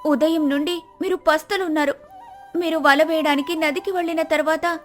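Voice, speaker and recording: A woman voicing a devoted, gentle but determined village wife in a moral story, with an earnest, pleading manner. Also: sits high, voice pushed up, natural voice. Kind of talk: acted moral-story dialogue.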